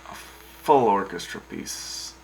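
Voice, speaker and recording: A man's voice: lowish voice, animated, slow pace, gravelly, darkish tone, slight background noise, full character voice, low-quality recording. A man hosting a radio show in scripted banter, reacting to his co-hosts in a dry, exasperated way. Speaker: radio host.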